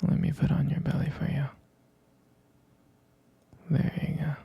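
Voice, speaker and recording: A man playing a devoted, soothing expectant father in an intimate ASMR roleplay, murmuring affectionately in a soft, breathy, husky whisper. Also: fairly high pitch, completely flat delivery, no room sound, dull audio, exaggerated voice. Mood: caring, soothing. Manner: slow, murmuring, reassuring, affectionate.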